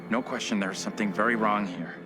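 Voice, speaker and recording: Man acting a low-voiced, worried onlooker. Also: fast, over music, close to an everyday voice. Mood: uneasy, helpless. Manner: plain, worried speech. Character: uneasy, conflicted male onlooker in horror game. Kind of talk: naturalistic video game acting.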